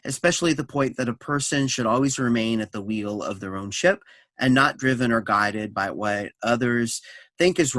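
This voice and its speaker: A man's voice: medium pitch, fairly bright tone, no echo. A man presenting a staged reading, reading notes aloud and moderating the discussion.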